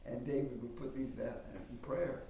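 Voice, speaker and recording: Soft-voiced man speaking in a roomy space. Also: mid-range voice, fairly even intonation, normal speed. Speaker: guest speaker at a church service. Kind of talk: informal sermon teaching from notes and scripture. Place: indoor service room, mic some way off. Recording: distant mic, roomy echo, faint noise.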